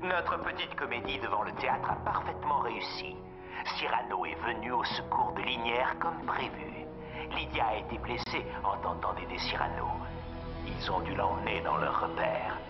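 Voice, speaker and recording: A man's voice: mid-range voice, big pitch swings, moderate pace, lightly acted. A man acting a stern, authoritative militia officer in a dubbed cartoon, speaking curtly. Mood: reporting. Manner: curt, authoritative. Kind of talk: dubbed animated series acting. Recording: muffled sound with music underneath.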